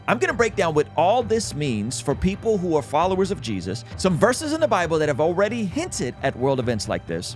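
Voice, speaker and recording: A man from Azerbaijan hosting his own channel, giving earnest, emphatic commentary straight to his viewers. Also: on the high side. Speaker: YouTube host. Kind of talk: faith-based commentary on current events.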